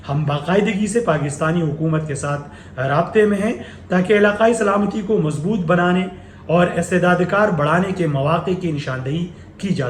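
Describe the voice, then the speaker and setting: A man with a Pakistani accent presenting current affairs commentary, partly reading and partly speaking off the cuff with halting filled pauses. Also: on the high side. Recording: echoey room with faint background noise.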